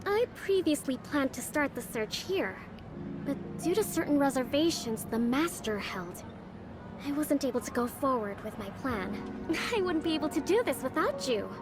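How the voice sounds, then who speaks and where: A courteous young servant from an anime video game. Her voice is high and very melodic, with a gentle, earnest and deferential manner. Music plays underneath. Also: sing-song, normal speed. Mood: earnest, hopeful. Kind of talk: video game character acting. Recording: music behind the voice.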